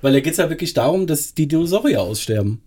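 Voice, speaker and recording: A man's voice: fairly high pitch, very animated, fast, dark tone. A man chatting casually as a podcast co-host, with teasing, ironic banter.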